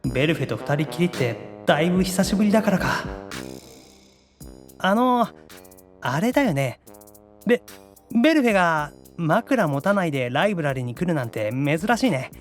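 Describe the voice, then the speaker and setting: Young otaku demon brother, very high-pitched, wildly animated, anxious, theatrical, anime audio drama. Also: male voice, moderate pace, pauses often, soft background music. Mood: nervous muttering. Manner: theatrical, swings from awkward muttering to gushing squeals.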